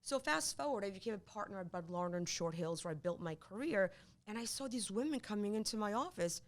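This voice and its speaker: A middle-aged woman from Poland, whose first language is Polish, speaking as a divorce attorney in an interview, emphatic and quick to repeat herself when excited. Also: medium pitch. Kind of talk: conversational interview.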